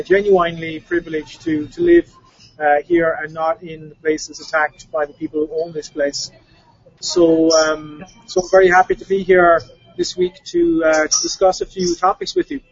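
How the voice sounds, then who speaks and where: A man with a gravelly, husky voice speaks from outdoors, stopping and restarting his sentences. His voice sits fairly high for a man. The sound is dull and lo-fi. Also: dry recording. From Ireland. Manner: halting, self-correcting speech. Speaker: guest commentator. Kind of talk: remote interview talk. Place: outdoors in front of a hotel.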